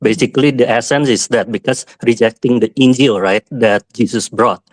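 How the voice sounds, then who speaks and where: A man's voice: medium pitch, steady tone, normal speed, no room sound. Hesitant Indonesian-accented male caller. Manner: hesitant, apologetic, searching for words. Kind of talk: call-in question.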